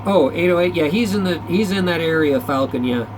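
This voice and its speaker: A man's voice: on the high side, expressive, a little fast, background noise. A man chatting casually as a CB radio operator, in a folksy, slangy, rambling way. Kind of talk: casual chat over CB radio. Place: back-room radio shack.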